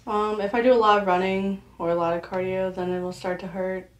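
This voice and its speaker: A woman's voice: mid-range voice, level tone, fairly quick. A young adult woman giving brief, conversational answers as a patient in a consultation. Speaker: patient, travel nurse. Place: small clinic room with bare walls. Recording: echoey room, away from mic.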